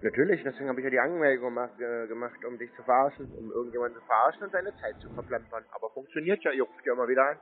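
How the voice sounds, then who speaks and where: A man's voice: mid-range voice, racing, few pauses. A man, taunting and insulting the other party in a phone argument. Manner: mocking, insulting, provocative. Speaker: scambaiter prank-calling phone scammers. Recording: muffled, lo-fi call audio.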